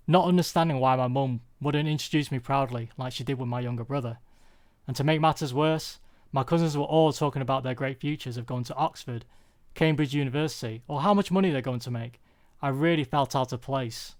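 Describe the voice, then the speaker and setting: Earnest British man giving a personal talk. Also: medium pitch. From UK, born there. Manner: earnest, confessional, deliberate phrasing. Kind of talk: prepared personal talk, storytelling.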